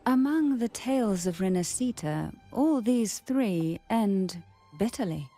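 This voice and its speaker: An actress playing a composed, enigmatic woman who speaks in a measured, poetic way in a video game. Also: medium pitch, animated, dark tone. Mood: calm, mysterious. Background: game music.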